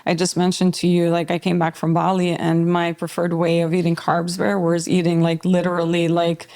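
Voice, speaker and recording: A woman from Albania, a podcast guest who talks about fitness in a relaxed, rambling way with frequent filler words. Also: on the low side, normal speed. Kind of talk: casual conversational interview.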